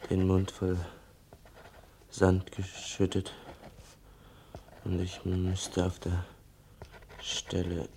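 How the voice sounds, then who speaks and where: Slow, low, creaky brooding youth. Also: male voice, monotone, warm tone, heavily acted. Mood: pensive, troubled. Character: pensive, troubled schoolboy questioning and confiding. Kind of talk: German radio drama, interior monologue. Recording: noisy track with low rumble.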